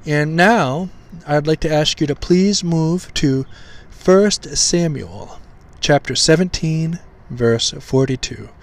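Slightly hoarse man teaching in halting bursts. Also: on the high side. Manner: halting, many ums and restarts, deliberate enunciation. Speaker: podcast host teaching scripture. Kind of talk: informal scripture teaching.

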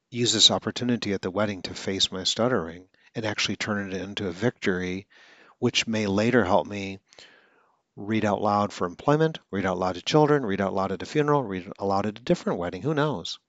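A man's voice: fairly low pitch, a bit bright, dry recording, muffled recording. A man who stutters now and then, hosting a podcast and coaching listeners in an earnest, instructional monologue.

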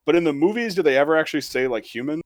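A man's voice: a little high, lively intonation, rapid-fire, dry recording. Guy podcast cohost, rambly and jokey, says like a lot, dry sound. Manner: rambling, with frequent "like". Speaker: podcast co-host. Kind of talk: casual joking panel chat.

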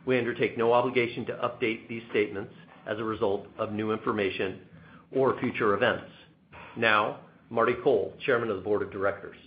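Low male voice on a thin phone line. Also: fairly even intonation, a little fast. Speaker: investor relations executive. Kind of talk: scripted corporate disclosure reading. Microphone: telephone conference line. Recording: thin telephone-line audio.

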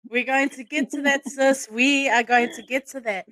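A woman's voice: high-pitched, steady tone, moderate pace, fairly bright tone, no room sound, poor audio. A woman hosting a casual interview podcast, enthusiastic and speaking to her guest in a familiar, sisterly way. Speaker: podcast host.

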